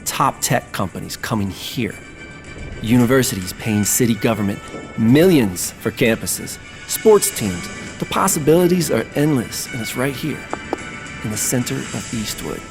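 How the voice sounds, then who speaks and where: An actor playing a scheming, flattering businessman with a polished, persuasive delivery in an audio drama. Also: mid-range voice, normal speed, over music, lightly acted. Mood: grand salesmanship. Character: smooth, scheming businessman pitching grand plans, flattering.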